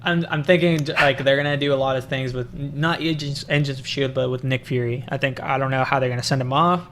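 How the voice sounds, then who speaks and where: Young dude cohosting a movie chat, rambly n hesitant, bit of room noise behind him. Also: male voice, medium pitch, fairly even intonation, fast. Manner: hesitant, rambling, circles back to rephrase. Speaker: film review co-host. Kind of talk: casual unscripted movie chat.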